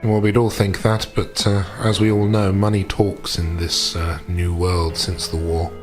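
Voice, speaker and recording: Man acting polite post-war gentleman, deep voice, hesitant, formal, tabletop roleplay, music underneath. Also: level tone. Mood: measured, tactful. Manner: hesitant, measured, formal period phrasing.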